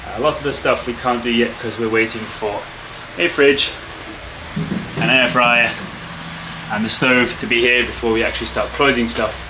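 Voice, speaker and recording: A man in his thirties talks through his project to a camera held at arm's length, relaxed and explanatory, with plenty of small fillers. His voice sits in an ordinary middle register. A steady hum of machinery and air conditioning runs clearly under the camera audio. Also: mid-range voice, normal speed. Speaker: boat restoration vlogger. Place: open-sided wooden workshop. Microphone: handheld camera at arm's length.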